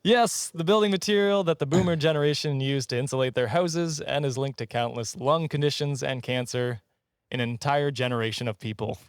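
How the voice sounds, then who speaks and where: A man's voice: mid-range voice, brisk, clean tone, no room sound, studio quality. A man hosting a podcast in casual, comedic chat, joking and chuckling as he goes. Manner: jokey, chuckling often. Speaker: podcast host.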